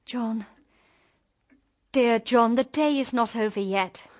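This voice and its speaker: An actress with a British accent playing an earnest, troubled young woman, gentle and restrained, in a radio drama. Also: a little high, slow pace. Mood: grave, earnest. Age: young adult. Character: earnest, troubled young unmarried sister, self-sacrificing and gentle.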